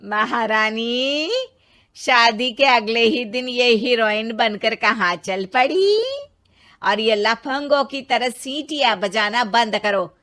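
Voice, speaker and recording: Cartoon mother-in-law, acted by a woman: Indian, commanding, sarcastic, drawn-out delivery, high register. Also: pushed and bright. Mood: angry scolding. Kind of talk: animated moral story acting.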